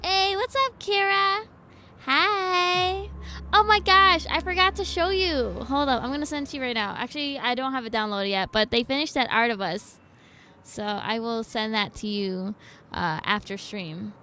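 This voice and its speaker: A woman streams a game in a high, bright voice. She is chatty and playful, commenting casually and greeting viewers over faint background noise. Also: moderate pace. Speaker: livestreamer.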